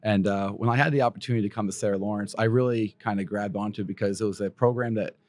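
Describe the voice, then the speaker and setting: A middle-aged man from Pennsylvania, a college basketball coach whose voice is raspy from coaching, answering interview questions modestly. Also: on the low side, fairly even intonation, quick pace, a little mellow. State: hoarse from coaching.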